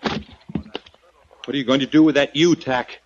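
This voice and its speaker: An actor playing a nervous, reluctant hotel employee in an old-time radio crime drama, jittery and protesting. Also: male voice, medium pitch, fairly even intonation, takes it slowly, close to an everyday voice. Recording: noisy, dull vintage radio recording.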